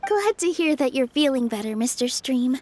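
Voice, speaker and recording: A woman's voice: high-pitched, animated, normal speed, darkish tone. A woman voicing a tiny, cheerful childlike fairy companion in a fantasy game, chirpy, encouraging and eager to help. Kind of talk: fantasy game character acting.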